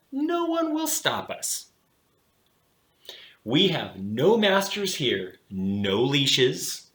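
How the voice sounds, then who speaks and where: A self-assured storybook dog who runs the club , played by a man. His delivery is unhurried and explanatory, with big swings in pitch. The sound is bright and roomy. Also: exaggerated voice. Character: male pet dog, cool self-assured club boss. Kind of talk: storybook character voice.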